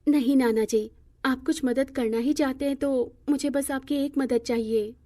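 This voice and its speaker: Woman playing young wife, earnest, self-reliant, respectful, mellow, higher-pitched, cartoon story. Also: steady tone, moderate pace. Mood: earnest, determined. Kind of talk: acted cartoon moral-story dialogue.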